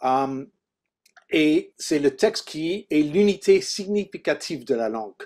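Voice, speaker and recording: Canadian guy teaching a workshop, english mid-atlantic accent, slightly high voice, stops and hunts for words a lot. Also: animated, darkish tone. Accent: mid-Atlantic English accent in French. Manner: halting, searching for words in a second language. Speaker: language teacher and author leading a workshop. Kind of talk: informal teaching presentation.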